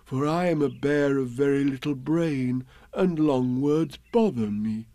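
A man voicing a simple, humble bear in a children's storybook, with a plaintive, gentle manner. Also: mid-range voice, no echo, lightly acted. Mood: humble, puzzled. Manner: plaintive, gentle, slightly slow-witted.

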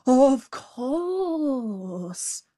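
A woman's voice: on the high side, expressive, slow pace, dry recording, studio quality. A woman playing a muddled, fretful storybook jackal, drawling. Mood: flustered. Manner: muddled, hesitant, obsequious. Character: feigning dim, fretful, wheedling male jackal. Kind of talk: storybook character voice.

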